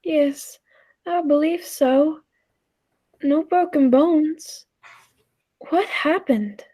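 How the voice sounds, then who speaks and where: High-pitched, anxious woman acting a time traveller's companion. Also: extremely slow, mellow. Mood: shaken, distressed. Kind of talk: 1940s-style radio play acting and narration.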